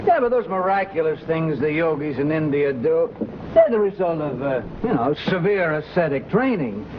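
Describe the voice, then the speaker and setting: Higher-voiced bookish skeptic, noisy radio. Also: male voice, sing-song, moderate pace. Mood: dry skepticism. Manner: hesitant, dry, argumentative. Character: skeptical, bookish man debating the occult. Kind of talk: 1940s American radio drama acting. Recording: dull, rumbling old radio recording.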